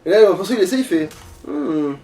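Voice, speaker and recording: A man's voice: sits high, lively intonation, fast talker, gravelly, dark tone, distant mic. A man chatting casually while playing a video game, talking colloquially and swearing often. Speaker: let's play gamer. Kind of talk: casual gaming chat.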